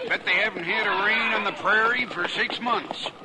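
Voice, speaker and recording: A high male voice acted by a man as a doubting, worried American trail hand in a radio western. Heavy noise and cattle-drive sound fill the old recording. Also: moderate pace, lightly acted. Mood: worried, doubtful. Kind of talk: 1950s radio western acting.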